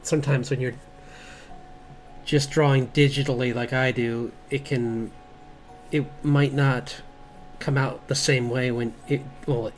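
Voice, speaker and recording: Man, mid-pitched voice, casual streamer chat, halting and rambling delivery, background music. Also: steady tone. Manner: halting, rambling, chuckling asides. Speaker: streamer and comic artist. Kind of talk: casual livestream chat while drawing.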